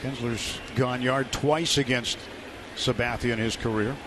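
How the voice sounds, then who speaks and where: Male baseball analyst over loud background noise. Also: mid-range voice, fairly even intonation, unhurried. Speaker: baseball radio commentator, analyst. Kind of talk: live sports analysis, conversational. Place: ballpark broadcast booth. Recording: live stadium broadcast, loud background noise.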